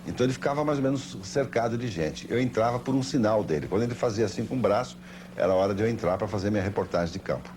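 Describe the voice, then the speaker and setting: An older Brazilian man with a very deep voice reminisces like a seasoned sports broadcaster. He talks quickly and tells his anecdotes with emphasis. The sound is an old television tape with clear background noise. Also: a little mellow. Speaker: veteran sports broadcaster giving testimony. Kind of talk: anecdotal interview recollection.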